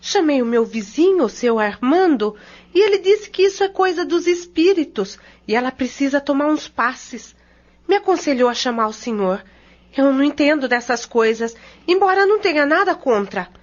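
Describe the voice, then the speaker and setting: A woman's voice: sits high, expressive, moderate pace, lo-fi sound. A woman with a Brazilian accent playing a worried, humble mother who talks in a plain, apologetic way in an audio drama. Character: worried, humble mother seeking help for her daughter.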